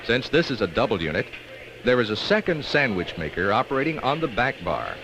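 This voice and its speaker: American man narrating, lively pitch, noisy old film. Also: fairly quick. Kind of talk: scripted industrial training film narration. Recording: dull, noisy 1960s film soundtrack.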